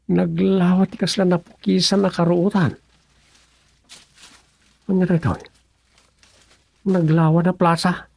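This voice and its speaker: A man's voice: sits high, flat delivery, unhurried, gravelly, pauses often, darkish tone, slightly rough, no room sound, heavily acted. An actor playing a puzzled younger countryman in a radio serial, with a Filipino accent, wondering aloud hesitantly. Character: puzzled younger countryman exploring a strange place.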